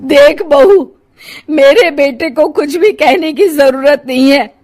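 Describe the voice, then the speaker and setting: Storybook role: aging, doting, scolding mother, high and plaintive, unhurried woman acting it. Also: a little mellow. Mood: irritated. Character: sad, snappish elderly Indian mother, doting on her son.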